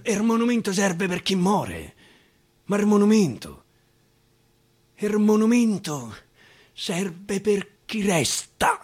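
A man reciting dialect verse dramatically on radio, musing and repeating phrases for emphasis. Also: high-pitched. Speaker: actor. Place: radio studio. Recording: guitar accompaniment throughout.

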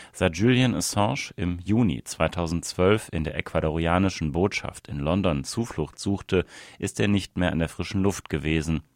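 A man's voice: deep voice, no room sound, studio quality. A man reading a written reportage aloud as scripted narration. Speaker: reader of an audio article.

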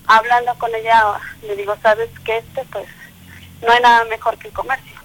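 A woman's voice: a little high, level tone, moderate pace, fairly bright tone, low-quality recording. A middle-aged woman from Mexico City with a Mexican accent, telling her story as a guest on a call-in interview, chatty with lots of fillers and laughter. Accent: Mexican Spanish. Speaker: small business owner, interview guest. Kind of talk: casual phone interview. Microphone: telephone call.

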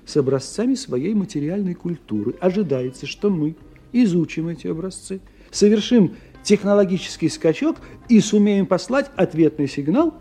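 Husky, expressive male scientist. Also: high-pitched, moderate pace, faint music behind, heavily acted. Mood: wry, explanatory. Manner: expressive, philosophizing, wryly courteous. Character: urbane, ironic male scientist explaining his theories. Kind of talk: 1990 radio play acting.

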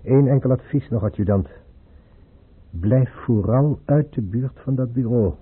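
An actor playing a cautious, discreet court chamberlain in a Dutch radio play, formal and measured in his speech. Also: mid-range voice, husky. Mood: guarded, confiding. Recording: muffled old radio play recording.

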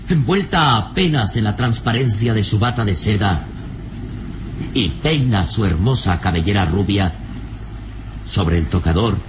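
A man's voice: on the low side, background noise. A man narrating a radio serial from a script in a grave, suspenseful, theatrical manner.

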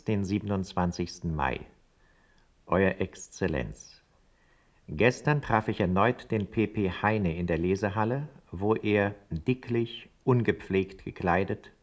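A scheming secret informer in a radio play. His voice is low. He delivers a formal report with cold disdain for the person he describes. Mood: officious.

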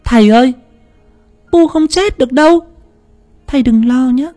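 A man voicing a small village girl who speaks calmly and strangely, in a raised voice. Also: very high-pitched, moderate pace, faint music behind, voice pushed up. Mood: eerie calm. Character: eerie little village girl, calm and knowing.

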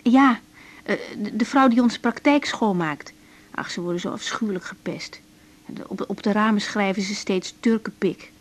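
An actress playing a breezy, decisive young woman doctor in a naturalistic radio drama, talking in a conversational, gently teasing way. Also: mid-range voice, moderate pace, close to an everyday voice. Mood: earnest. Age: young adult.

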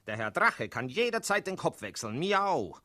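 A defiant tomcat in a 1960s radio play. The voice is sharp and bright, swinging widely in pitch, with drawn-out meows between phrases. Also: very animated. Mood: sly, explanatory.

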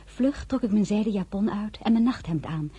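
An actress playing a tender young newlywed wife who tells her own story in a radio drama, with a husky, breathy voice. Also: medium pitch, expressive, moderate pace, warm tone. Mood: calm narrating. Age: young adult. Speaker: narrator and lead character. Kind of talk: radio drama with first-person narration.

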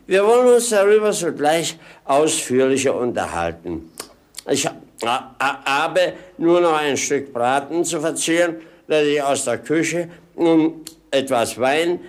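A man's voice: on the high side, lively intonation, measured pace. A man playing a cheeky, gluttonous, witty manservant in a 1950s radio play, with a droll, clownish, mock-polite delivery. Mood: sly, mock-polite.

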